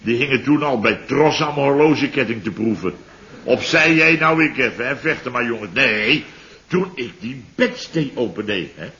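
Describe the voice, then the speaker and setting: An actor playing a blustering, indignant middle-aged boss who complains in exclamations, in old radio comedy style. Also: male voice, mid-range voice, lively intonation, normal speed, pushed and bright. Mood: exasperated. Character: blustering, indignant middle-aged boss grumbling about his holiday cottage. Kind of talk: 1970s Dutch radio comedy acting. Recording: muffled old radio recording.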